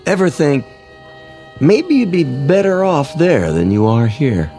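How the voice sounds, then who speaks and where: A man acting in a radio drama as a sly, suspicious coworker who talks in an insinuating, deliberate, falsely casual way. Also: a little high, very animated, mellow, faint music behind. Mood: pointedly casual. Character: sly, suspicious male coworker probing his neighbours with veiled menace.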